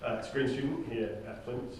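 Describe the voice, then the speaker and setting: A man's voice: mid-range voice, fairly even intonation, moderate pace. A middle-aged man informally addressing a group, with jokey, self-deprecating asides. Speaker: director and co-writer introducing a table read. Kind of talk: informal spoken introduction to a group. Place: indoor room with group seated on chairs. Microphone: distant microphone. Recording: echoey room from far off, loud background noise.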